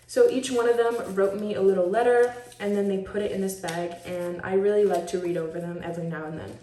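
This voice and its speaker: A woman's voice: mid-range voice, darkish tone. A teenage girl from Switzerland giving an upbeat, chatty show-and-tell presentation of a school project. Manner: chatty and upbeat, talks a lot. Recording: roomy echo, mic at a distance.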